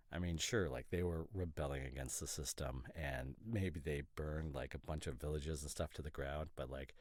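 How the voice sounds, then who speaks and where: A nitpicking boyfriend from an audio drama, acted by a man, speaks in an extremely deep voice. He argues casually and pedantically, with exasperated, halting asides. The sound is dry and close. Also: no room sound, exaggerated voice. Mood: argumentative. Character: opinionated, nitpicking boyfriend, a professional costume designer.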